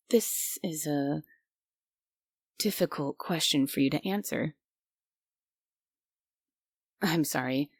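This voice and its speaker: Woman acting a low-voiced, bossy guardian angel. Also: vocal fry, no room sound, studio quality, heavily acted. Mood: concerned. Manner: bossy, prim, grudgingly caring. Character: strict, stubborn but secretly kind female guardian angel. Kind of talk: immersive roleplay audio drama, ASMR.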